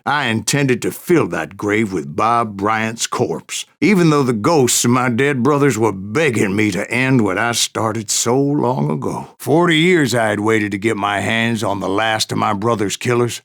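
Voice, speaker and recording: A male actor playing a grizzled old Wild West bounty hunter in a video game, with a gravelly American voice and a folksy, wry way of telling his tales. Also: fairly low pitch. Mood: vengeful. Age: older. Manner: folksy, wry storytelling drawl. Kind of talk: dramatic first-person game narration.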